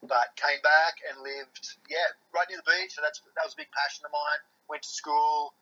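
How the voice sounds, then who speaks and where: A man's voice: on the high side, fairly even intonation, normal speed, bright, forceful tone, lo-fi sound. An Australian man guesting on a podcast, chatting casually and rambling with lots of fillers and restarts. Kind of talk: casual chat.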